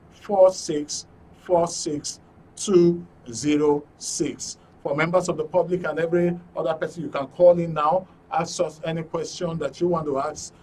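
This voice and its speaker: A man with a Nigerian accent hosting a live phone-in programme in a polite, formal way, repeating phrases for emphasis. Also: on the high side. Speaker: radio and TV host.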